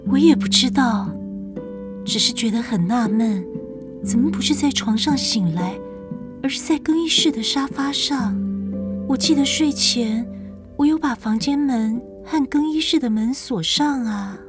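A well-mannered, mysterious young lady with a puzzled air. Her voice is fairly high, in polished radio-drama style, and music plays clearly behind it. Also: lively intonation, a little slow. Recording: music under the voice.

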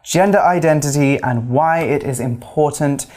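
A man's voice: a little high, moderate pace, a little mellow. Man chatting as a lesson co-host. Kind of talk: casual explanatory chat.

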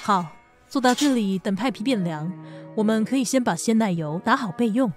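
A woman's voice: medium pitch, lively intonation, moderate pace, music in the background, natural voice. An actress playing a kindly, chatty female cake shop manager in an audio drama, with a Taiwanese accent. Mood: kindly, curious.

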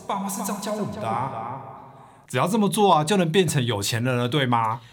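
A man's voice: mid-range voice, very animated, a little fast, echoey room, big-room sound, natural voice. A man voicing an honest, eager and naive poor man of ancient Babylon in an audio drama. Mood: earnest.

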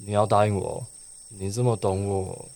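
Low-voiced, dreamy Taiwanese schoolboy played by a man. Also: steady tone, moderate pace, vocal fry, a little mellow. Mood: playful, hopeful. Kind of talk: Mandarin radio play acting and first-person narration.

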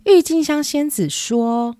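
A woman's voice: high voice, slow, mellow, no echo, studio quality. A woman narrating a scripted children's story as the storyteller.

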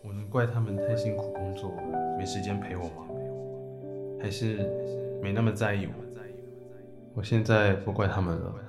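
A man's voice: lowish voice, moderate pace, long pauses, close to an everyday voice. A man playing a resigned young ghost. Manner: flat, resigned. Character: young man's detached ghost, bitter and indifferent. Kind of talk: radio drama acting. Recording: echoey with loud music.

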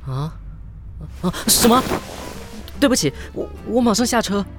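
Emotive, hurt young Taiwanese man, higher-pitched. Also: big pitch swings, measured pace, over music. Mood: flustered. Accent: Taiwanese Mandarin. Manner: emotive, sighing, swearing. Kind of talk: radio drama acting with inner monologue. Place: studio.

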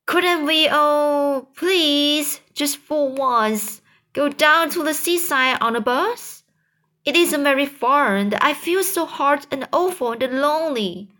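A woman's voice: high voice, slow pace, sharp tone, pitched above the performer's own voice, full character voice. A woman reading as a small, frightened boy. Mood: pleading. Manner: pleading.

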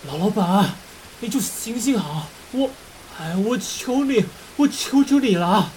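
A woman's voice: medium pitch, expressive, measured pace, darkish tone, a little hiss or hum, lightly acted, low-quality recording. A woman in an audio drama playing a desperate businessman who stammers and begs breathlessly. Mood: desperate begging. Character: desperate, pleading businessman, a husband and father.

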